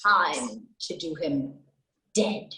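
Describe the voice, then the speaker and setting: A woman playing a vengeful, mocking warrior queen in a classical Shakespearean stage monologue, with theatrical, taunting, drawn-out delivery. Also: deep voice, lively intonation, pushed and bright, full character voice. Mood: scornful, vengeful. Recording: echoey room, distant microphone.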